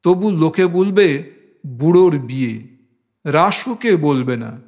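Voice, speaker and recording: A man's voice: fairly high pitch, animated, slow pace, a little mellow. A man reading a literary novel aloud as an audiobook narrator.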